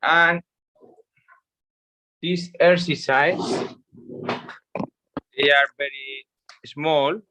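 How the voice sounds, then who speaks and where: A man teaching watercolor painting in a live online demo, speaking halting with many pauses, on a video call. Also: on the high side, steady tone, bright, forceful tone. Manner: halting non-native English with many pauses. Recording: slightly dull lo-fi stream audio.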